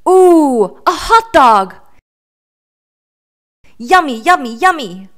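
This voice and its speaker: Storybook pigeon, played by a woman: possessive, melodramatic, quick to exasperation, high-pitched, with drawn-out emphatic words. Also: sing-song, a bit bright, heavily acted. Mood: gleeful, possessive. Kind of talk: children's storybook read-aloud.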